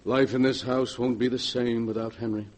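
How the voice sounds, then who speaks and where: A man's voice: medium pitch, level tone, moderate pace, darkish tone, natural voice. A middle-aged man playing a solemn, anxious father, earnest and paternal, in 1940s radio horror acting. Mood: solemn grief. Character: grave, anxious father of a cursed family. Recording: noisy 1940s radio recording.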